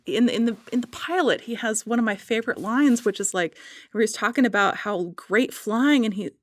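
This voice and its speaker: A woman podcast guest chatting casually and enthusiastically, often halting to search for words. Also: on the high side, no echo, clean studio sound. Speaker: podcast guest, writer and illustrator.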